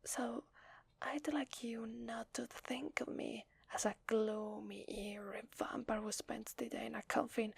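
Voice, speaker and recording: A woman with an Italian accent playing a gentle, apologetic vampire in an ASMR roleplay, whispering softly and hesitantly close to the microphone. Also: a little high, dry recording, full character voice. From Italy. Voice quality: soft breathy whisper. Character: gentle, apologetic female vampire confiding in a human. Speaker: ASMR voice actor. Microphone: close microphone, dry.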